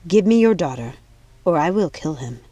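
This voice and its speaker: A woman voicing a cruel, commanding witch matriarch in an audiobook, speaking with deliberate, calm menace. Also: low voice, no room sound. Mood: threatening. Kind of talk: audiobook villain dialogue.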